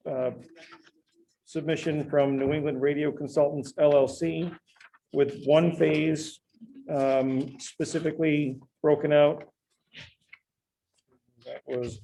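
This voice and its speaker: A man's voice: on the high side, vocal fry, mellow, slight background noise, poor audio. A man speaking as a town official in a public meeting, summing up documents. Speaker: town official at a bid opening.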